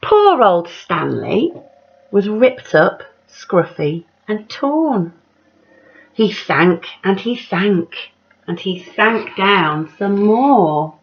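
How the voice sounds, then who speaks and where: A woman reading a children's picture book aloud like a teacher, dramatic and measured, putting questions to her young listeners. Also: medium pitch, sing-song, slightly rough. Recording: dull, lo-fi sound.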